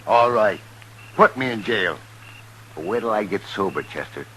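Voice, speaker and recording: A drunken, mean frontier gambler in a vintage radio western. He makes sullen threats in a bright, forceful voice that swings widely in pitch, with faint noise behind it. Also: expressive, normal speed. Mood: sullen menace. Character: mean, drunken Old West gambler-gunman. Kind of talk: 1950s radio western acting. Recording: noisy vintage 1950s radio recording.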